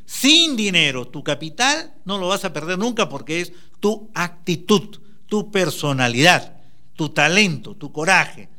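A man's voice: high voice, sharp tone. A man giving a motivational talk, emphatically urging the listener on and addressing them directly. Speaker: motivational speaker.

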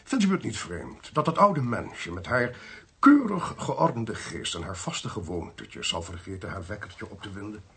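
An actor playing an inquisitive, genial amateur sleuth in a radio play, with a husky, gravelly voice and an expansive, teasing way of talking. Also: male voice, fairly low pitch, sing-song, moderate pace, fairly bright tone, heavily acted. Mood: intrigued.

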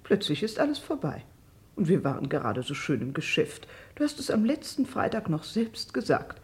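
An animated, plaintive older widow. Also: female voice, lowish voice, normal speed. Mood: plaintive, rambling. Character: older widow, plaintive, rambling and self-justifying. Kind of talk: vintage German radio crime drama acting.

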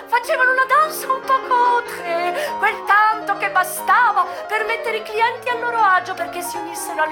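A brazen old-time brothel madam, really high voice, stagey radio play monologue, savours her words, music clearly underneath. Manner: theatrical, drawn-out words, savouring lists. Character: brazen, worldly American brothel madam recalling her trade. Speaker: stage actress. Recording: music playing behind the voice.

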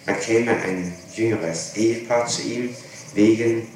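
A man's voice: lowish voice, steady tone, noisy background. Methodical male interrogator on echoey tape. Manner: methodical, deliberate, halting. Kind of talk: questioning, restating a statement. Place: echoing room, archival tape recording. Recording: echoey old interrogation tape, room murmur.

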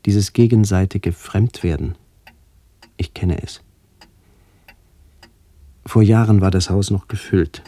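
A man playing a somber first-person narrator in a literary radio play, speaking in a measured, descriptive way. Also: sits low, mellow, full character voice. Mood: somber, contemplative. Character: somber male first-person narrator remembering his aged aunts.

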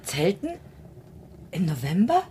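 Worried mother, lively low-pitched voice. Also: slow pace, slight background noise. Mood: reproachful. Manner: questioning, reproachful. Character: worried, reproachful middle-aged German mother of grown son. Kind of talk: German radio play acting.

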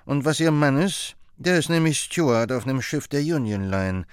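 Casting: a chatty, wrinkled old woman with rambling, folksy speech, pitched well up and unvaried in tone, put on by a male reader. Low-key storybook character. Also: animated, moderate pace, clean tone, no room sound, studio quality, lightly acted.

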